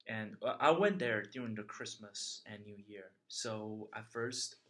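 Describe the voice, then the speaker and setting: A young man from China telling a travel story in casual chat, chatty and halting with many fillers. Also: on the low side, a bit bright. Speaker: interviewee sharing a travel story.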